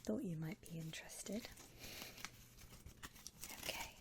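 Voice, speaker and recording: A woman whispering softly and breathily in an attentive, gentle customer-service ASMR roleplay, close to the microphone. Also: fairly low pitch, takes it slowly. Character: polite, attentive female vampire coffin-shop consultant. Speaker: ASMR roleplay performer, playing a shop consultant.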